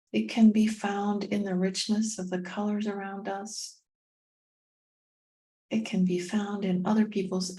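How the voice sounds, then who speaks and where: A woman guides a meditation at a very unhurried pace. Her voice is soft-edged and relaxed, at a middling pitch. She speaks gently in short phrases and leaves long, soothing pauses between them. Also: low-quality recording. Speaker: meditation guide and tapping coach.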